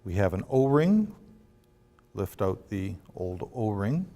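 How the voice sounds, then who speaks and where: Slow, mellow man in an echoing room. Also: fairly low pitch, big pitch swings. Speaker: product demonstrator. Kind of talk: step-by-step instructional demonstration. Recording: long reverberant room echo.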